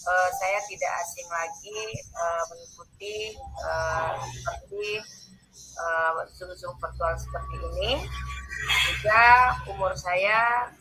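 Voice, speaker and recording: A woman in her fifties, a school principal, speaking politely and haltingly in an informal introduction on a video call. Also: lowish voice, sharp tone, soft background music, poor audio. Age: 50s. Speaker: school principal and training mentor.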